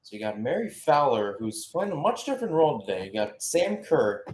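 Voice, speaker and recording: A man's voice: medium pitch, big pitch swings, brisk, darkish tone. A man giving live radio-style football commentary as a play-by-play caller, mixing match calls with chatty, conversational asides.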